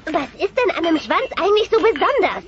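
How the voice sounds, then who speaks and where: A woman's voice: extremely high pitch, sing-song, quick pace, a loud crowd. A woman voicing a sulky, defensive twelve-year-old dinosaur daughter in a German family comedy audio play. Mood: indignant. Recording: noisy lo-fi cassette sound.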